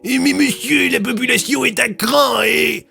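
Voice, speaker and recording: Man playing a high-pitched, blustering military colonel. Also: steady tone, a little slow, gravelly, bright, forceful tone, faint music behind, full character voice. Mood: cowed, defensive. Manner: barking, threatening. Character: blustering authoritarian colonel, meek before his superior. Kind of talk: audio drama acting.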